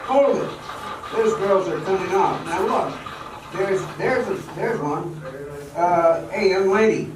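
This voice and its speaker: A man's voice: high-pitched, slow pace. Man playing a proud jilted dancer, echoey hall. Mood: brash, insistent. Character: jilted male dancer drowning his bitterness in drink, stubborn, proud. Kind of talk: amateur radio drama acting. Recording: very echoey hall, distant mic, music behind.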